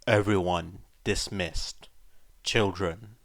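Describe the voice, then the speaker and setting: A stern old leader in a story reading, voiced by a man. The voice is low and measured, with slow delivery. He is imperious and curt, used to giving commands. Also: fairly even intonation, no echo. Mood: commanding.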